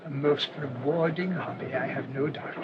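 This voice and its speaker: An actor playing a humble, earnest English parish priest in a radio play, mild, hesitant and formally wordy. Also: male voice, medium pitch, fairly even intonation, moderate pace, lightly acted. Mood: earnest, storytelling. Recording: dull, noisy old radio recording.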